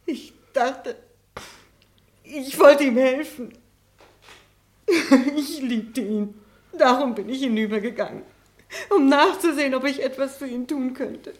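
A woman's voice: sits high, measured pace, long pauses. An actress playing a distraught, defensive woman on the witness stand in a German radio play, emotional and emphatic. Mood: anguished confession. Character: distraught, defensive female witness, protesting innocence.

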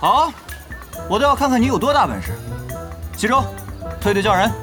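High-voiced sarcastic young male gamer. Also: wildly swooping pitch, a little fast. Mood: indignant, challenging. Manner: mocking, incredulous, dramatic. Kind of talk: audio drama dialogue. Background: music.

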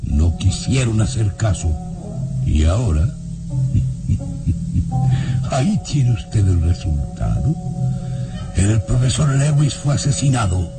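An actor playing a haughty, imperious castle baron in an old radio drama, evasive and threatening. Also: male voice, on the low side, sing-song, slow, long pauses, over loud music, exaggerated voice. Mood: menacing. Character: haughty, menacing male baron, owner of a castle.

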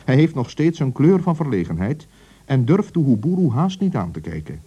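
A man narrating a children's radio story in a lively, expressive way. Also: medium pitch, moderate pace, husky, lo-fi sound.

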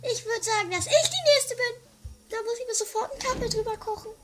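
A woman's voice: extremely high pitch, fast. A woman playing a giddy, clumsy, coffee-obsessed woman in an amateur comedy radio play, chirpy and repeating herself. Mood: matter-of-fact. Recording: faintly noisy 1980s amateur radio recording.

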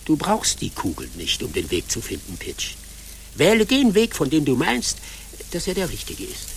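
Fox companion for radio drama, playful and reassuring, gravelly, extremely lively intonation, faint ambience. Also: male voice, fairly high pitch, normal speed, slight background noise, full character voice. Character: friendly, wry, clever male fox guide. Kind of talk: German radio play acting.

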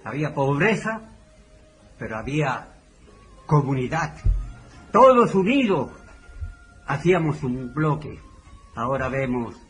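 A man's voice: medium pitch, rough recording. An older man with a Chilean accent giving commemorative testimony, speaking in short, emphatic, declamatory phrases, partly from notes. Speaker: community elder giving testimony. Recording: clear background noise.

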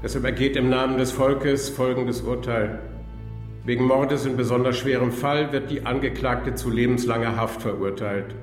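A man acting as a stern judge in a radio play, formal and measured, mid-pitched. His voice rings through an echoing hall with music underneath. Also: steady tone, lightly acted. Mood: solemn. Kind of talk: radio drama courtroom speech.

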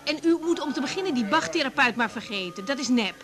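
A woman's voice: high-pitched, expressive, fast talker, music in the background. An actress playing a frank young woman doctor in a naturalistic Dutch radio drama, hesitant and informal in her speech. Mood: frank, firm. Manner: hesitant, repeating words, informal. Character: young woman doctor, frank, caring but easily thrown.

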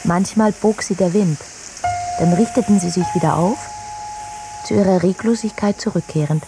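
A woman reading literary prose as a scripted narration, with measured and deliberate phrasing. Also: lowish voice, music in the background, rough recording.

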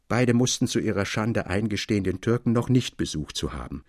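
A man reading literary prose as a measured, articulate and expressive storyteller. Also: lowish voice, dry recording, studio quality. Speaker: audiobook narrator. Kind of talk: literary scripted narration. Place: dry recording studio.

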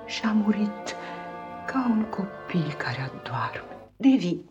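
A woman playing a devoted, motherly nursemaid in a 1950s radio drama, tender and emotional, with a husky voice. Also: medium pitch, sing-song, music in the background, lightly acted. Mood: tender, confiding. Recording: dull, muffled 1950s radio recording.

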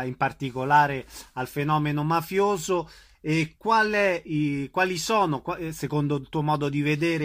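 Bright, forceful male radio interviewer. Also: a little high.

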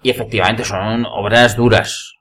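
A man's voice: on the low side, steady tone, moderate pace, fairly bright tone. A man with a Spanish accent from Spain, telling anecdotes in a casual group chat as a podcast panelist. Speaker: podcast panelist and amateur actor.

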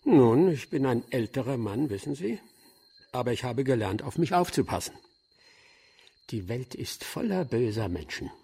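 Gravelly, wry older official. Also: male voice, medium pitch, big pitch swings, mellow, full character voice. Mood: reserved, wry. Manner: dry, sardonic, measured. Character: wry, shrewd older male official, dry and curious. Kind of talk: German radio drama acting.

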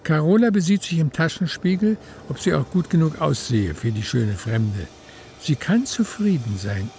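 Mellow, gravelly male narrator, higher voice. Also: expressive, normal speed, slight background noise. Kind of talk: scripted literary narration for a radio play.

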